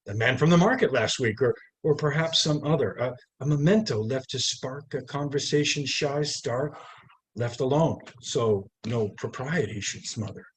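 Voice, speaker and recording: Husky man reciting poetry with theatrical deliberation. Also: medium pitch, animated, normal speed, poor audio. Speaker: poet reading own work. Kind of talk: poetry reading aloud. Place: live online video call. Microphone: video call microphone.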